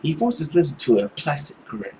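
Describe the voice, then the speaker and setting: A man's voice: on the high side, sing-song, a little fast, dark tone, a little hiss or hum. A man narrating a visual novel aloud in a dramatic storytelling style as he plays it. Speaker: video game let's player.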